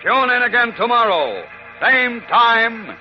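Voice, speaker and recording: A man's voice: very high voice, very animated, slow pace. A man giving suspenseful, emphatic scripted narration in a 1940s radio style on a dull old recording. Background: dramatic music.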